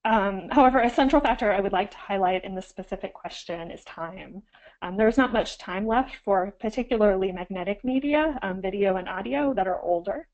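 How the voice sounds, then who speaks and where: A woman's voice: medium pitch, a little fast, a little mellow, lo-fi sound. A woman archivist getting over a cold, slightly froggy, giving an instructional webinar with frequent ums and self-corrections.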